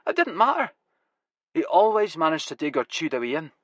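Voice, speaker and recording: A wild-eyed Glasgow grower with a raspy, high-pitched voice, as a male narrator puts him on. He talks in an eager, proud rush that swings up and down. The storybook reading sounds dry and close. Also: sing-song, brisk, a bit bright, no room sound. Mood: grim. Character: wiry older Glasgow man, excitable cannabis grower.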